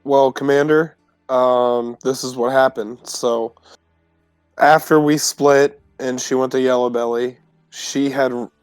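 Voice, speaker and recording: A man's voice: mid-range voice, little pitch movement, slow pace, clear, resonant tone, no room sound, soft background music, lightly acted. A man playing an earnest teenage adventurer in a tabletop game, explaining himself in a hesitant, deferential way. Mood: reporting.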